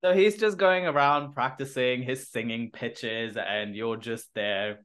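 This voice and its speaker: A podcast host who reads a cheerful intro and then chats with a guest in an upbeat, bouncy way. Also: male voice, unhurried, fairly bright tone. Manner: upbeat, bouncy delivery with big swings in pitch. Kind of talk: podcast intro and interview chat.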